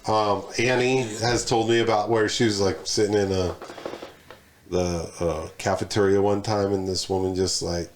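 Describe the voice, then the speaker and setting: A man's voice: lowish voice, fairly even intonation, moderate pace, slight background noise. A man co-hosting a podcast in casual chat, joking and swearing as he tells stories. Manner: profane, joking, storytelling. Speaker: podcast co-host.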